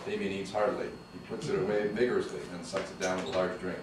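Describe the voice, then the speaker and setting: A man reads the stage directions of a screenplay in a brisk, matter-of-fact way. His voice sits low and moves fast. The recording comes from across an echoey room, with noise behind it. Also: level tone, distant mic. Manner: brisk, matter-of-fact reading with laughs at slips. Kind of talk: table read narration of stage directions. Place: studio room.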